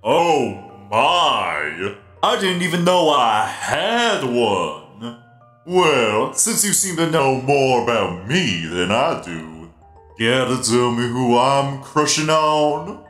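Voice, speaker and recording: A genial, theatrical robot spider DJ acted by a man for a cartoon comic dub, playful and teasing. The delivery is unhurried and very animated, in a roomy echo over music. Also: medium pitch. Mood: amused. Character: friendly, playful, easily amused giant robot spider DJ. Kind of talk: fan comic dub character acting.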